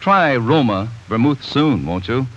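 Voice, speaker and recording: A man announcing a sponsor's commercial in a smooth, persuasive 1940s radio style. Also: mid-range voice, expressive, a little slow. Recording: muffled 1940s radio broadcast.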